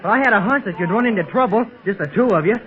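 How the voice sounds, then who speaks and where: A man's voice: sits high, rapid-fire, faint music behind, natural voice. An actor playing an eager, polite teenage boy adventurer with an earnest gee-whiz manner in 1930s radio style. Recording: tinny 1930s radio recording.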